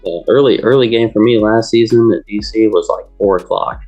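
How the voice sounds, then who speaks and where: A man on a sports show chatting casually, halting and repeating words as he goes. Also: on the low side, a little mellow, muffled recording, faint music behind. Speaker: co-host or panelist on a sports league show.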